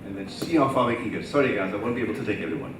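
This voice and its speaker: Man, moderator, brisk and polite, lowish voice, distant mic. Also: lively intonation. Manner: brisk, courteous, managing turns. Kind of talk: chairing a Q&A. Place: large conference hall. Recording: roomy hall, distant mic, noisy.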